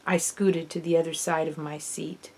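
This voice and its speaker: A woman author reading her own children's novel aloud in the first person, as expressive, dramatic storytelling. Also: low voice, moderate pace. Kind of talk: audiobook narration of children's fiction, first person.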